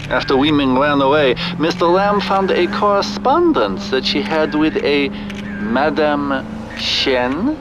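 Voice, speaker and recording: An actor playing a nervous, hesitant underworld informant in a video game, with jittery delivery. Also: male voice, fairly high pitch, unhurried, muffled recording, background noise, close to an everyday voice. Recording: loud music behind the voice.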